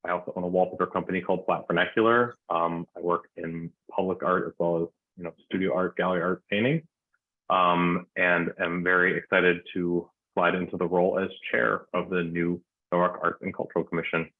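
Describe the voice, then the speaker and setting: A man chairing a municipal arts commission meeting, running roll call in a relaxed, informal way with plenty of fillers. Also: deep voice, a little fast, dry recording. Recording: dry tinny phone-like line.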